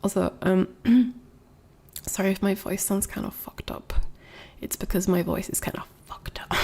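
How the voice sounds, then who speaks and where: Young female streamer, congested from a cold, gravelly, rambling casual chat. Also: mid-range voice, fairly even intonation, a little slow, warm tone, in a whisper. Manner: rambling, many fillers and restarts.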